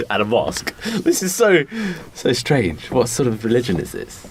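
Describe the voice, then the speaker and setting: A man's voice: mid-range voice, big pitch swings, a little slow, noisy background. A man in casual improvised comedy chat as a podcast co-host, bantering with mock disbelief and some swearing.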